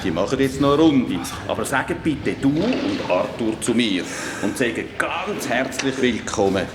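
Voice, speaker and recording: An actor with a Swiss German accent playing Arthur, a lively, welcoming grown man in a children's radio play. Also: medium pitch, unhurried, background noise, close to an everyday voice. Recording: roomy sound with background ambience.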